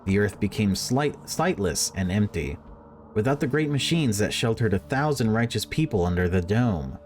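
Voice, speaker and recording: A man's voice: lowish voice, expressive, normal speed, darkish tone, close to an everyday voice. A man voicing a stern, condescending official who preaches machine worship in formal sermons, read aloud from a horror visual novel. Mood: fervent preaching.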